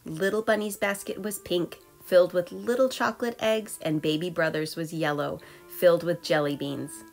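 A low-voiced woman reads a picture book to young children. She is expressive and measured in her phrasing, and soft music plays underneath. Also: gravelly. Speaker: storybook reader. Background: soft background music.

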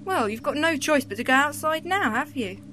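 A woman playing a brisk, impatient Time Lady companion with a crisp, sardonic way of speaking. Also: high-pitched, animated, pushed and bright, a little hiss or hum.

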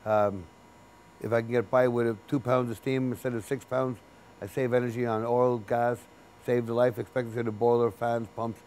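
A man in his fifties, a building's chief engineer, explaining his work in a sit-down interview in a basement boiler room, practical and unhurried. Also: medium pitch, flat delivery, a little mellow, a little hiss or hum. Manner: plain-spoken, practical, unhurried with restarts. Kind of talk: interview for a training video.

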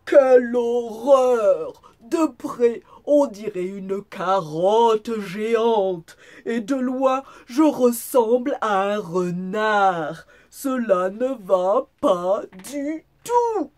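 A woman voicing a big, grumpy, vain male wolf from a children's storybook, with loud, exclamatory, theatrical complaining. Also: on the high side, animated, drawn-out words, hardly any pauses, pitched above the performer's own voice. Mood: disgusted, indignant.

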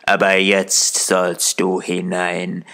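A man voicing a menacing, commanding, murderous husband in a fairy tale, speaking slowly and threateningly. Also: low voice, completely flat delivery, pushed and bright, exaggerated voice. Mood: angry. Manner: slow, threatening, angry.

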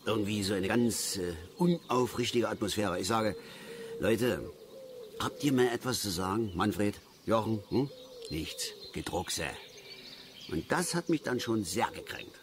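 A man's voice: on the low side, expressive, a little slow, a little hiss or hum. An actor playing an embittered, touchy middle-aged male angler who rambles and grumbles irritably in a radio play. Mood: aggrieved. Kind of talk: German radio play acting.

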